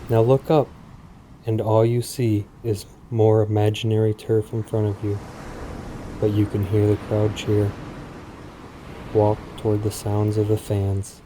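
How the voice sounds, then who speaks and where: A man speaking in a soothing, measured, deliberate way with long pauses, as in a guided sleep meditation. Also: on the low side, monotone, mellow, slight background noise, poor audio. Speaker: podcast host. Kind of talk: guided sleep meditation, parody hypnosis script.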